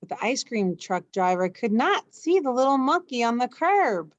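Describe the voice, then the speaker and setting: A woman reading a children's bedtime story aloud, putting expressive emphasis into the dialogue. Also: a little high, normal speed, no echo, highs cut off.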